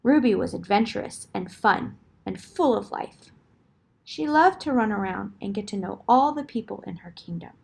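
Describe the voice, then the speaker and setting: A woman narrating a children's fairytale in an expressive storybook style, with deliberate dramatic emphasis. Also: mid-range voice, creaky, husky.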